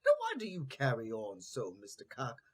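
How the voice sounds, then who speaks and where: A man's voice: medium pitch, wildly swooping pitch, normal speed. A man voicing a kindly, upbeat donkey in a storybook tale, coaxing and friendly as he reassures others. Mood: encouraging.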